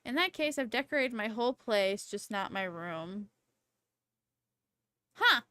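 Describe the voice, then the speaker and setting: High-pitched, unhurried young woman streamer. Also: clear, resonant tone, no echo. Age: 20s. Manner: rambling, playful, excitable, slangy. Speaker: livestreamer, VTuber host. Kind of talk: casual livestream chat.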